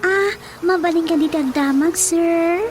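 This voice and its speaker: A woman's voice: very high-pitched, a little slow. A woman with an Ilocano Filipino accent playing a polite, coy, mysterious night visitor in a radio drama. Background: music.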